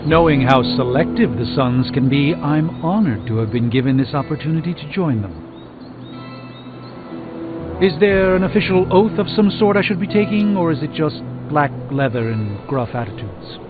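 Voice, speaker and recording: A proud, learned sorcerer played by a man speaks in formal, elaborate sentences with dry wit and a fairly high male voice. It is video game dialogue, heard through a thin, narrow-band recording with music clearly behind it. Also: expressive, normal speed, lightly acted. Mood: wry, pleased. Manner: formal, wordy, self-important. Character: proud, erudite male sorcerer, dryly witty. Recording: thin band-limited audio under loud music.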